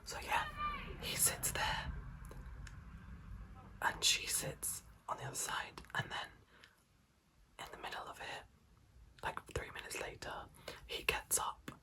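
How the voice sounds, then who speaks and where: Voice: slow pace, long pauses, bright, forceful tone, poor audio. A person whispering a personal story in a casual, chatty ASMR style, acting out bits of dialogue. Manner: whispering throughout, acts out quoted exchanges.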